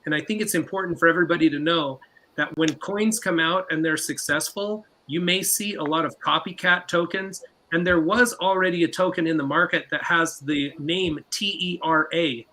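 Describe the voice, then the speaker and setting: A man's voice: fairly high pitch, normal speed, pushed and bright, a little hiss or hum. A man hosting a live stream, giving casual advice with some filler words. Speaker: host.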